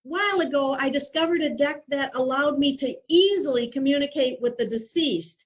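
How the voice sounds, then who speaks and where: A woman's voice: high-pitched, moderate pace. A woman asking questions eagerly, hesitating with fillers, heard on a dull lo-fi recording. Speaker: audience questioner. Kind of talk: conversational question.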